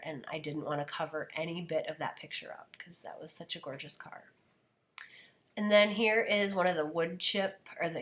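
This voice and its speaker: A woman with a slightly low, soft voice narrates her craft work in a relaxed, chatty way. She is coming down with a cold and her voice is worn. She talks the listener through each small step as if to friends. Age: adult, parent of a daughter. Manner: chatty, relaxed, describing steps as she goes. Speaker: scrapbooking video host. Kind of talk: casual craft voice-over narration.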